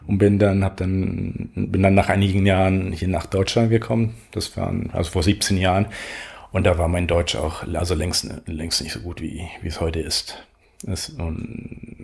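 Spanish-native man in his forties from Venezuela, low voice, halting interview answers with frequent restarts. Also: creaky. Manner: halting, searching for words with many restarts.

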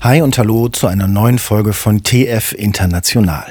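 A man's voice: on the low side, moderate pace, over music. A man hosting a radio programme, presenting and narrating from a script.

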